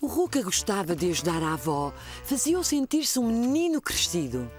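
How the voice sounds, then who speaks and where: A woman's voice: medium pitch, sing-song, normal speed, darkish tone, faint music behind. A woman narrating a children's story expressively, with a European Portuguese accent.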